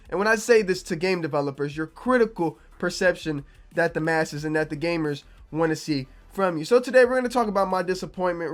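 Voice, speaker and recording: A young man, a gaming YouTuber, talking casually to his viewers with a slightly raspy, low-energy voice from being sick. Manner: conversational, repeats himself, low energy. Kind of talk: casual opinion vlog to camera.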